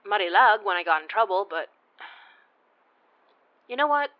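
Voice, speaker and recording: A woman's voice: medium pitch, lively intonation, moderate pace, highs cut off, lightly acted. A woman playing a friendly, weary investigator of the supernatural, speaking in a casual, conversational, sometimes hesitant way. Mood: open, casual. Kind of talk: naturalistic audio drama acting.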